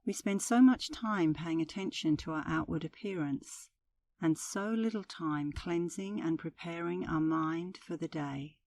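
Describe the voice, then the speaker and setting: Female meditation guide: lowish, warm and relaxed voice, unhurried and soothing, gentle instructional narration. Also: distant mic.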